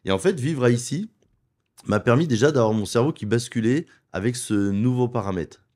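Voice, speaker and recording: A middle-aged man from France, a wealth advisor in a studio interview, making his case persuasively and listing points in quick runs. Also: medium pitch, darkish tone. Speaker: wealth advisor and property investment guest. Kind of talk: conversational interview.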